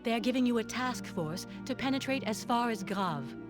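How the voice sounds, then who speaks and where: A woman's voice: fairly high pitch, animated, moderate pace, over music, close to an everyday voice. Actress as a composed female military adviser. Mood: steady. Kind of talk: military video game dialogue.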